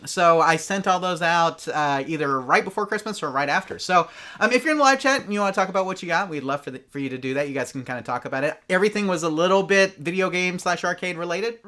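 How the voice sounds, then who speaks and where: A higher-pitched man hosting a live show, talking quickly and brightly. He keeps things upbeat and moves briskly from one viewer message to the next. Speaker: co-host and producer.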